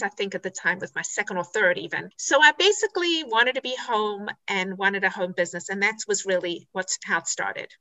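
South african lady chatting on a podcast, bright lively tone, lots of ums. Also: fairly high pitch, poor audio. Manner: chatty and candid, with frequent "um" pauses and self-corrections. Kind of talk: podcast interview.

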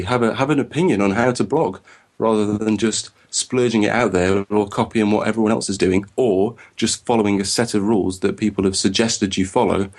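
A man co-hosting a podcast, chatting casually in a fairly low voice. He rambles and stammers over repeated words as he thinks aloud. His voice sounds a bit croaky. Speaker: podcast co-host.

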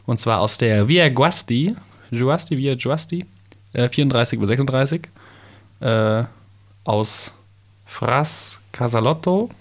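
Young male podcast co-host, mid-range voice, slow and halting with playful asides. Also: medium pitch, animated. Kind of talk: casual chat, reading a bottle label aloud.

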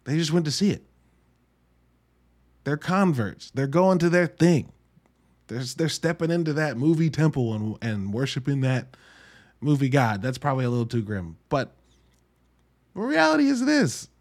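A man hosting a podcast, giving an informal, wry solo review in an emphatic, halting way with drawn-out words. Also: fairly high pitch, very animated, a little mellow. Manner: informal, wry, mildly profane. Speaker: podcast host reviewing films. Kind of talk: casual solo review monologue.

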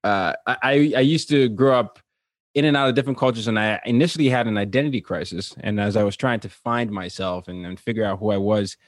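A man from Nigeria, raised across several countries, speaking as an interview guest in casual, personal storytelling with an animated manner. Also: lowish voice, quick pace, no room sound, studio quality. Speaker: interview guest, cultural translator and consultant.

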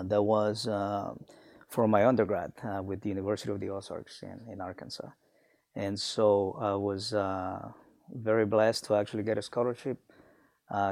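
Reflective man from Honduras, gravelly. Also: lowish voice, a little mellow, no room sound, studio quality. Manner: reflective, many fillers and restarts. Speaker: guest, business alumnus. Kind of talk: conversational interview.

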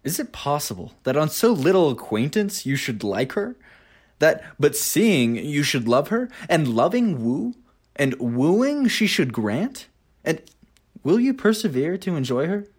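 A man playing a sincere, lovesick young gentleman in a Shakespearean radio play. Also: a little high, sing-song, a little slow, breathy edge, exaggerated voice. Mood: wondering.

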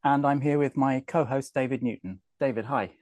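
A man's voice: medium pitch, normal speed, darkish tone, no echo. A man hosting a podcast, asking short, straightforward questions. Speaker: podcast host. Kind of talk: podcast interviewing.

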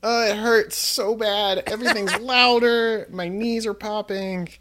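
A man around thirty chats casually as a podcast guest host. There is clear noise and some clicking on his recording. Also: high-pitched, steady tone, unhurried, fairly bright tone. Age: 30s. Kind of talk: casual chat. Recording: clearly noisy mic with clicks.

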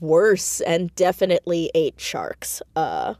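A woman chatting casually as an interview guest, with an animated, emphatic, jokey and sometimes sweary way of talking. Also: fairly low pitch, slow pace, dry recording. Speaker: podcast guest, audio drama creator and writer.

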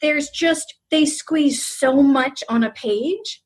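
A woman hosting a casual interview, chatty and quick to chime in with agreement. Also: high voice. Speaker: interviewer, host.

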